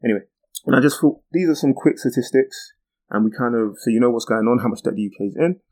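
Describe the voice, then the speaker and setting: A man's voice: medium pitch, quick pace, warm tone. A British man hosting a podcast, explaining things in a chatty, informal monologue. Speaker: podcast host.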